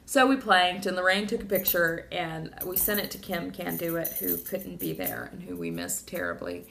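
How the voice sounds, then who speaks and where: Slightly hoarse woman with a gravelly voice. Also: fairly low pitch, animated, moderate pace, a bit bright, slight background noise. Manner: rambling, emotional, many fillers and restarts. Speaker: vlogger. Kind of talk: casual personal video diary.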